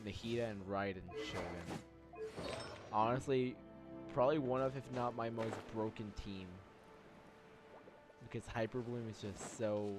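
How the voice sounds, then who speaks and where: Male gameplay commentator, lowish voice, casual and rambling, over loud game music. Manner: rambling, repeats phrases as he thinks aloud. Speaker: gameplay streamer.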